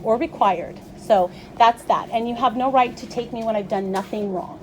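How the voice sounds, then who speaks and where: A woman's voice: fairly high pitch, normal speed, slight background noise, rough recording. Firm, insistent woman arguing her rights. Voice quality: loud voice. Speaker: patient's companion, filming a confrontation. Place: hospital unit and hallway. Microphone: handheld phone recording.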